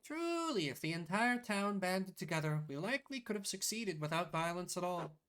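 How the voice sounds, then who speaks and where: A man voicing a grave, dignified village widow in a video game, measured and formal. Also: high voice, expressive, a bit bright. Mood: solemn, firm.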